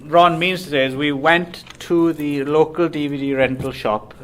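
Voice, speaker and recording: A man's voice: fairly high pitch, steady tone, moderate pace. A man co-hosting a casual movie podcast, talking with dry, self-mocking humor. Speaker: podcast co-host and author. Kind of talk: casual chat.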